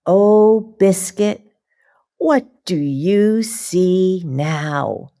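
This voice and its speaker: A woman voicing a cheerful little girl who cares for her puppy, in a gentle, sing-song read-aloud manner. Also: lowish voice, big pitch swings, very slow, pitched above the performer's own voice, exaggerated voice.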